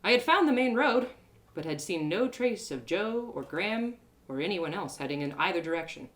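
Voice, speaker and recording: Woman playing young female adventurer, audio drama, naive, plucky, dramatic exclamations, lively wide-ranging pitch. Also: moderate pace, natural voice. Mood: weary. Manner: dramatic, exclaiming, stammering in distress. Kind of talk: first-person audio drama storytelling.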